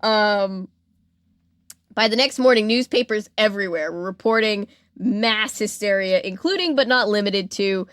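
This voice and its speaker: Woman podcaster, animated casual storytelling, says like a lot, dry close sound. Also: medium pitch, moderate pace, dry recording. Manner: chatty, animated retelling with acted-out quotes and frequent "like". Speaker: podcast host. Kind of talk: casual storytelling about pop culture history.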